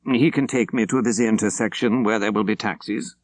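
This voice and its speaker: A prim English clergyman from an audiobook novel, played by a male narrator. He is formal and cautious, with a stern, measured manner, recorded close and dry. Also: fairly low pitch, steady tone, dry recording, lightly acted. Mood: curt.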